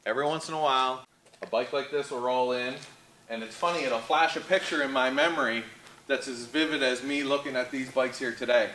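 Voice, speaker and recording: A man from Prince Edward Island with a Canadian Maritime accent, telling a casual personal story in a folksy, animated, conversational way. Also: medium pitch, a little fast, low-quality recording. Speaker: car dealership storyteller and host.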